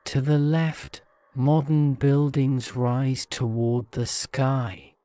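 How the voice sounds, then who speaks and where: A man reads a bedtime guided-imagery story at a very slow, measured pace. His voice is soothing and mellow, with a smooth, clean tone. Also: medium pitch, flat delivery, dry recording. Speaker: narrator.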